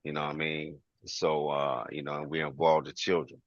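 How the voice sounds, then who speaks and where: A man's voice: extremely low pitch, level tone, moderate pace, dry recording. A man hosting a casual online livestream, talking informally and repetitively, with frequent "you know" and "man" as he goes. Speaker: host of an online show. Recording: dull lo-fi livestream audio.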